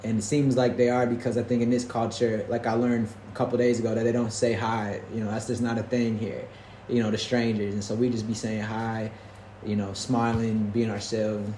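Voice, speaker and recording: A man's voice: fairly low pitch, little pitch movement, fairly quick, dark tone. Earnest rambling man in an echoey room. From Detroit, United States. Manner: rambling, earnest, many restarts and "you know". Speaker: podcast host. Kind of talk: casual faith-filled conversation. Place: roomy indoor space, recorded in Portugal. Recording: echoey room with faint background noise.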